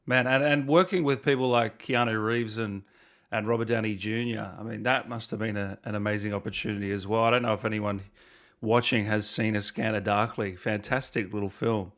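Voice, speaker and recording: A man's voice: fairly low pitch, fairly even intonation, a little fast, fairly bright tone. Matey man hosting an interview. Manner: matey, admiring. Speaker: interviewer, host. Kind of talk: casual interview.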